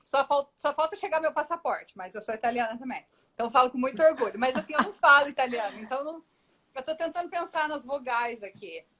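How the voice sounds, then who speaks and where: A woman's voice: high voice, expressive, fast, bright, forceful tone. A woman voice teacher answering questions in a live online interview, explaining technical points on a video call. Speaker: guest voice teacher.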